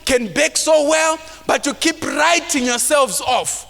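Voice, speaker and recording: High, bright-voiced man speaking in a hall. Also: measured pace, creaky. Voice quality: mild stammer. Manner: preachy, rising to shouts for emphasis; acts out timid voices of self-doubting people. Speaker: motivational speaker. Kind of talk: motivational talk to a live audience. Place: large conference hall. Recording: long hall reverb.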